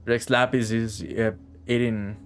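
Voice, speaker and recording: A man's voice: medium pitch, little pitch movement, unhurried. Profane man streaming a video game. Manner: profane, reactive, talks to game and viewers. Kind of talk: casual live gameplay commentary. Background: game music.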